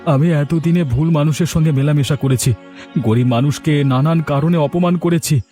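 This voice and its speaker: An earnest, resolute village man acted by a man who champions the poor in a cartoon. His voice sits a little high and is emphatic, over faint music. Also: normal speed, mellow, lightly acted. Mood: regretful, resolute. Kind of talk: cartoon dialogue.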